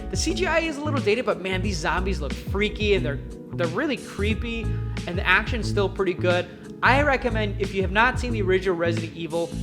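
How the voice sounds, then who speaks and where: An enthusiastic, chatty video host giving casual, emphatic film commentary in a countdown format. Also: medium pitch. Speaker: YouTube host reviewing films. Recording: music playing behind the voice. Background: background music.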